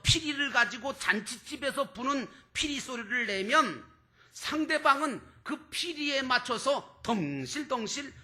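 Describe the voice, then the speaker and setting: Male preacher, extremely high and forceful voice, declamatory, rhetorical sermon style. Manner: emphatic, declamatory, stretches key words, rhetorical questions. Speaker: pastor preaching to a congregation.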